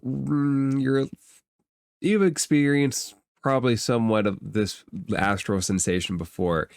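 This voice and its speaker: Slow-paced man narrating a tabletop game. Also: medium pitch, gravelly, smooth, no room sound, clean studio sound. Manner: descriptive, halting, thinking aloud. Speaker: game master.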